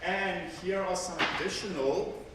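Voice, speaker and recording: A man's voice: sits high, fairly even intonation, a little slow, very noisy. A man presenting at a technical conference with a handheld mic, speaking conversationally and often repeating words as he corrects himself. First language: Afrikaans. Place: large hall with seated audience. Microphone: handheld mic, filmed from far back. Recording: echoey hall from far off with audience.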